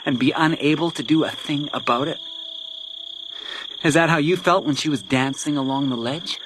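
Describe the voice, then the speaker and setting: A man's voice: on the high side, lightly acted. An actor playing a menacing, vengeful man with a taunting, theatrical delivery in a detective radio drama. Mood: bitter, menacing. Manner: taunting, theatrical, deliberate when reading letters aloud. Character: menacing vengeful man, grieving brother, cruelly mocking and taunting. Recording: noisy night outdoor ambience with insects.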